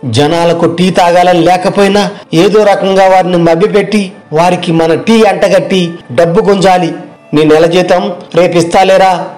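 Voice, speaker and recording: A man's voice: high voice, fairly even intonation, moderate pace, a little mellow, close to an everyday voice. A man playing an arrogant, greedy tea-stall owner in a moral story cartoon, boastful and bossy. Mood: scheming, bossy.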